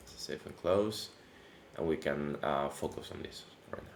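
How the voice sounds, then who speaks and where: A man hosting a casual coding tutorial, his voice deepened by allergies, talking in a relaxed, chatty way with many fillers and restarts. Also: moderate pace, vocal fry. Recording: echoey room with faint background noise.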